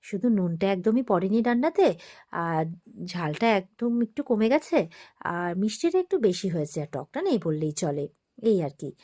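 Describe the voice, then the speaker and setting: A woman's voice: mid-range voice, very animated, normal speed, mellow. A woman voicing a dutiful mother in a TV serial retelling, polite but outspoken and protesting. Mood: dry critique.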